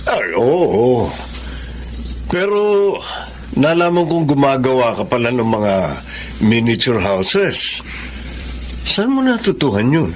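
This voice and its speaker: An actor playing a kindly married benefactor in a Filipino drama, speaking in an earnest, courteous, reassuring way. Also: male voice, medium pitch, sing-song, slow, pauses often, slight background noise. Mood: earnest, encouraging.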